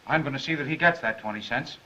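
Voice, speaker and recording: A man acting a bright, glib, bluffing reporter. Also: mid-range voice, fast, slight background noise, close to an everyday voice. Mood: cheerful, confident. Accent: American. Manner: glib, chuckling, eager patter. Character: fast-talking, bluffing, cheerful young newspaper reporter. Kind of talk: 1930s film comedy-drama acting. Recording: muffled, band-limited 1930s film soundtrack.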